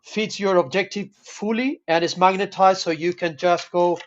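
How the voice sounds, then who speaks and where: A man from Chile with a Chilean Spanish accent, speaking English as a second language in a halting, friendly casual chat over a video call. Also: sits high, steady tone, a little hiss or hum. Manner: halting, searching for words, friendly. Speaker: team supporter and maker. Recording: dull lo-fi call audio.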